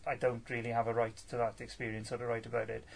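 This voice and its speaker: Man, 30s, poet from Wales, halting live Q&A, self-deprecating, mid pitch. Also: completely flat delivery, creaky. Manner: hesitant, many fillers and restarts, self-deprecating. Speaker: featured poet answering audience questions. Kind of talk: casual live Q&A at a poetry salon.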